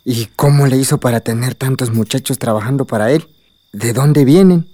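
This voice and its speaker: A man playing a calm, protective middle-aged village father with a Mexican accent in a radio soap opera. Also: medium pitch, moderate pace, dry recording, lightly acted. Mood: concerned.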